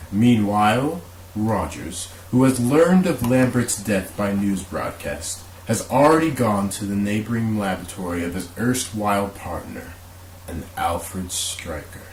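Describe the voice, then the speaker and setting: A man's voice: lowish voice, a little mellow. A man giving dramatic scripted narration as the narrator of a radio play. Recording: roomy echo, faint hiss.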